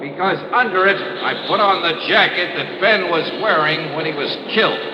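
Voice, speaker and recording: Actor as a wry, drawling investigator, old radio play, roomy and hissy. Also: male voice, high-pitched, normal speed, dull audio, natural voice. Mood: confronting. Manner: easygoing, drawling, with hesitant fillers. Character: shrewd, wry male insurance investigator. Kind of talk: 1950s radio drama acting and narration.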